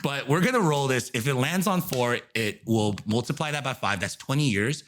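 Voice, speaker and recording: A man in his thirties co-hosting a podcast, chatting casually and rambling, laughing often. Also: moderate pace. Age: 30s. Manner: rambling, laughs often, lively swings in pitch. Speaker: podcast co-host. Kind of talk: casual chat.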